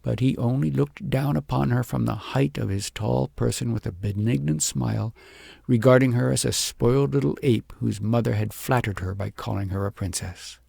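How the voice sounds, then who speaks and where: A man reading a children's story aloud as a scripted, expressive audiobook narrator. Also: medium pitch, normal speed, vocal fry, breathy edge, no echo, clean studio sound.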